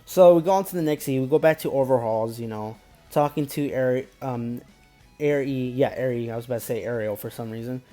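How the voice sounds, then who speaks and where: Male reviewer, medium pitch, mellow tone, casual unscripted recap, rambling and filler-heavy, light music underneath. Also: brisk. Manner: rambling, repetitive filler phrases, stumbling over names. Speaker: anime episode reviewer.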